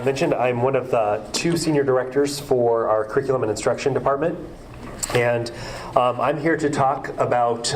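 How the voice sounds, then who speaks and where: A man's voice: medium pitch, a little hiss or hum. A man with a congested, stuffy voice from a cold, presenting on curriculum to a school board in a polite, organized way.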